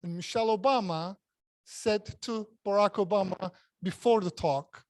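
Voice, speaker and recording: A man's voice: high voice, normal speed, dry recording. A man who speaks English as a second language, giving a jokey, self-mocking speech at a club meeting and repeating words for emphasis. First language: not English.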